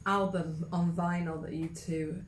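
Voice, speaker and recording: A woman's voice: lowish voice, fairly even intonation, unhurried, roomy sound, a little hiss or hum. An English woman hosting a singalong, chatting casually, laughing often and reading facts aloud. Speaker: singing teacher and host. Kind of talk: casual chat.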